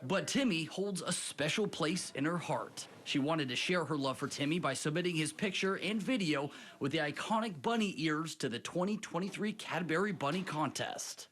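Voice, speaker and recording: A woman's voice: sits low, expressive, moderate pace. An American woman working as a news reporter, reading a scripted feature story.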